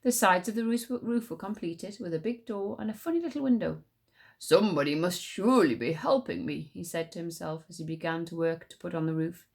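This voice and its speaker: A woman's voice: fairly low pitch, quick pace, creaky, hardly any pauses. Woman reading a folk tale aloud, expressively. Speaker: teacher reading stories aloud. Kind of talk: expressive storybook read-aloud.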